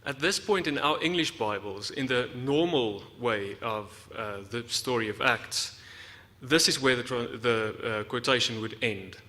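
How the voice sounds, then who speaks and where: A man from South Africa giving a prepared academic lecture, explaining in a measured way with deliberate emphasis. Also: mid-range voice, sharp tone. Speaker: academic researcher giving a talk. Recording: reverberant hall.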